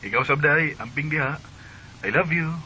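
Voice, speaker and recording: An actor playing a husband away from home in a radio drama, with a Cebuano Filipino accent. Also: male voice, fairly high pitch, normal speed, sharp tone, slight background noise, low-quality recording. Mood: affectionate. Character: husband working away, speaking to his wife.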